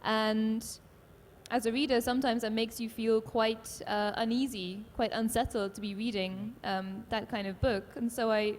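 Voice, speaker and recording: A woman moderating a literary panel, asking long, considered questions with soft fillers. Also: fairly high pitch, steady tone. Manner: measured, thoughtful, soft fillers. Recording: long hall reverb, faint room noise.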